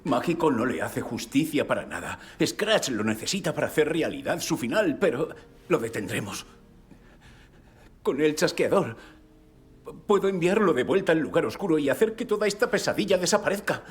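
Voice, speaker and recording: A man's voice: medium pitch, sing-song, normal speed, slightly rough. An actor with a Castilian Spanish accent playing a haunted male novelist with a fractured memory, intense and grave, in a dubbed video game. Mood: urgent, agitated. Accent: Spanish (Spain). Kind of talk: dramatic video game dubbing.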